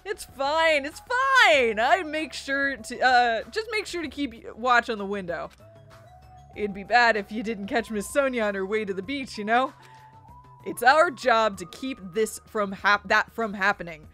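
A woman's voice: on the high side, lively intonation, unhurried, sharp tone, faint music behind. A woman voicing an eager, slangy, cocky teenage boy in a video game. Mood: confident. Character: excitable, scheming teenage boy, cocky and girl-crazy.

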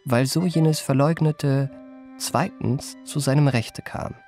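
Memoir narrator: gravelly, urbane, self-satisfied, literary phrasing, music underneath. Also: male voice, medium pitch, steady tone, a little mellow. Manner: ornate, measured literary phrasing. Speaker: first-person narrator. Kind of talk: scripted radio drama narration.

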